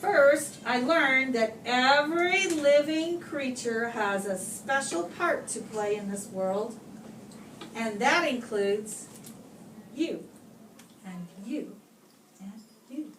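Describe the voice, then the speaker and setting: A woman reading a children's picture book aloud like a teacher, with expressive, deliberate phrasing and pauses for young listeners, recorded at a distance in a room. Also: sits high. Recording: roomy, distant microphone, clear background noise.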